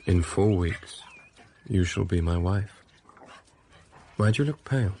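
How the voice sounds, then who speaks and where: An actor playing a brooding, ardent master of the house in a period radio drama, urgent and commanding. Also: male voice, low-pitched, a little slow, warm tone, a little hiss or hum. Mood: commanding, tender.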